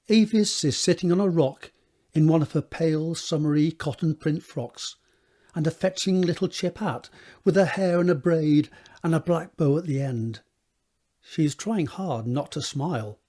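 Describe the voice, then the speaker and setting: A man narrating a scripted drama, setting each scene in a measured, descriptive read with a husky voice. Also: fairly high pitch, mellow.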